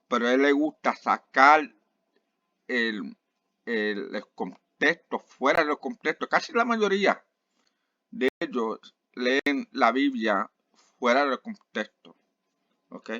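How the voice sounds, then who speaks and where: Slow-talking man with many fillers. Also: medium pitch, pushed and bright, no room sound, muffled recording. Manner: halting, with many fillers and restarts. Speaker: online Bible teacher. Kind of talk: casual video commentary.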